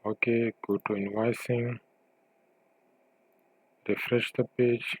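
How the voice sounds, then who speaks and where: A man narrating an informal software tutorial, thinking aloud with a hesitant delivery as he demonstrates. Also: mid-range voice, flat delivery, slow pace, rough recording.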